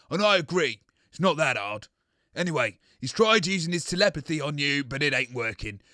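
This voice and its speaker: High-pitched grumbling bossy man acted by a man. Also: pushed and bright, dry recording, clean studio sound. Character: cantankerous, bossy, pedantic male comedy radio show sidekick. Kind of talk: comedy audio sketch acting.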